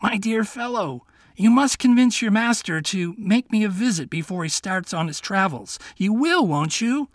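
Man putting on a flustered pompous old king, squeaky high voice, wildly swooping, dry. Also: lively intonation, moderate pace, no echo. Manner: blustering, pompous. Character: fussy, flustered old king, eager and vain.

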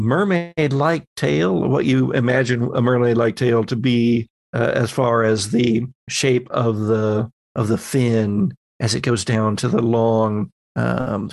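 A man runs a horror tabletop game, describing scenes as he invents them. His speech is slow and deliberate, with halting pauses. The sound is dry, with little room on it. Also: mid-range voice, creaky, no echo. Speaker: game master. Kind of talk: improvised tabletop horror narration.

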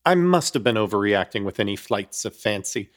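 A man's voice: lowish voice, animated, a little fast. Man narrating a horror comedy. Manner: wry, storytelling.

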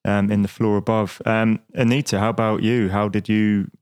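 A man co-hosting a podcast, asking questions with gentle prompts and filler ums. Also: lowish voice, little pitch movement, normal speed, smooth, no room sound, clean studio sound. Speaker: podcast co-host. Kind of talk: interviewing, casual.

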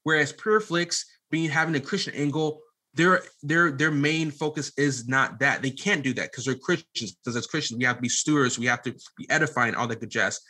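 A man in his late twenties with a bright, forceful voice at an ordinary male pitch. He talks as an interview guest explaining his work, emphatic and halting, often doubling back to repeat the start of a phrase. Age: late 20s. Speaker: podcast guest, media app founder. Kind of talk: conversational interview answer, explaining a venture.

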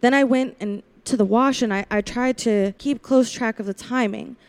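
A woman's voice: a little high, normal speed, a little mellow, clear, resonant tone, no room sound, natural voice. A woman playing a young, lonely drive-in waitress in a 1940s-style radio play, confiding and wary. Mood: wistful, confiding. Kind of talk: 1940s radio play acting, first-person narration.

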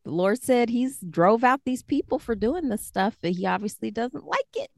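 A woman's voice: a little high, lively intonation, moderate pace, gravelly, no room sound, clean studio sound. A woman with an American accent, speaking as a podcast co-host in an informal, wry way. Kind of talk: casual conversation and scripture reading.

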